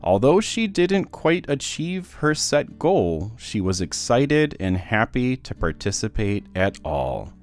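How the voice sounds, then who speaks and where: A man reading a children's story aloud as narrator, in an expressive, deliberate manner. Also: medium pitch. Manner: animated, deliberate rhyming delivery for children. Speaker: children's book read-aloud host.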